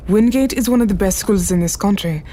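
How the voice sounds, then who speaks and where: A woman's voice: medium pitch, brisk, dark tone, close to an everyday voice. An actress playing a commanding, shrewd woman crime boss in a period radio drama, clipped and imperious in her delivery. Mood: stern, proud.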